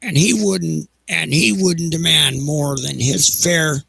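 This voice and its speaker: A man playing a peevish, defensive railroad executive in an audio drama, with whiny, halting, indignant delivery. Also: fairly high pitch, fairly even intonation, creaky, fairly bright tone, husky, dry recording, pitched above the performer's own voice, full character voice.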